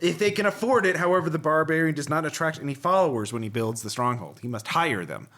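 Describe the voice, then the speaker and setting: A man's voice: fairly high pitch, lively intonation, quick pace, fairly bright tone. A man hosting a podcast, chatting casually and reading passages aloud with a wry, joking manner. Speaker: podcast host.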